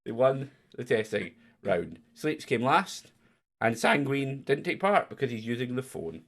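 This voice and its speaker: A man's voice: unhurried, creaky. A man hosting a livestreamed quiz in a chatty, teasing way. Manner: teasing, chatty, lively jumps in pitch. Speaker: quiz host. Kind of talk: casual livestream chat.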